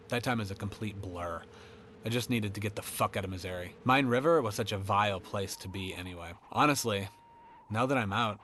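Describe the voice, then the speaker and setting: A man's voice: lowish voice, fairly bright tone, slight background noise, close to an everyday voice. A man voicing an unrepentant killer hiding in an attic, sinister and darkly glib, in a first-person horror monologue.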